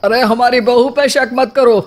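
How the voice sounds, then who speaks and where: A woman's voice: on the high side, fairly even intonation, a little slow. A woman playing an elder of a comic family in story dialogue. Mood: wary. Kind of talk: slow story dialogue acting.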